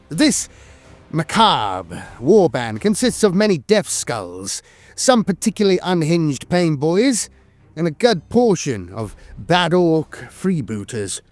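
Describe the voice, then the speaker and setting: A man delivers scripted, dramatic narration with very animated intonation. His delivery is theatrical and wry, like a storyteller relishing the tale. The recording is dry and close, with faint music beneath it. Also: a little high, no room sound. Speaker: lore narrator.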